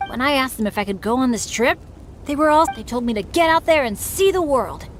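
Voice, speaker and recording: Casting: humble, upbeat teen boy game hero, higher register, earnest and bashful delivery, music underneath. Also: animated, a little fast. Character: earnest, good-natured teenage boy adventurer, humble and upbeat. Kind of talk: anime-style game voice acting.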